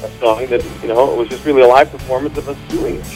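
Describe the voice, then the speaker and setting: A man with a Chicago accent talks fast as a guest on a radio interview, rolling from one anecdote into the next. His voice sits at an ordinary middle pitch, and faint music plays behind him. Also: steady tone, a little mellow, poor audio. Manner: rambling anecdotal, brisk. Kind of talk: radio phone interview, storytelling.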